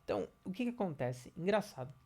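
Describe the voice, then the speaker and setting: Brazilian educator, man, stuffy nose from a cold, relaxed explaining to parents. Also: high voice, fast talker, creaky. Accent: Brazilian Portuguese. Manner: conversational, many fillers and restarts. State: has a cold, nasal voice. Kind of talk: casual educational talk to parents.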